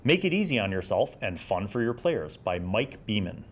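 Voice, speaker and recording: Low-voiced middle-aged man, casual and wry. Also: sing-song, normal speed. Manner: conversational, wry, self-described nerdy. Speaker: host of a retro tabletop gaming review series. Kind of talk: casual commentary, reading and reviewing a magazine article.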